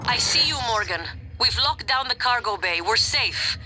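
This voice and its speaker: A woman's voice: on the high side, expressive, normal speed, music in the background, lightly acted, low-quality recording. An actress playing a practical, guarded woman security chief in a video game, speaking in terse, matter-of-fact sentences.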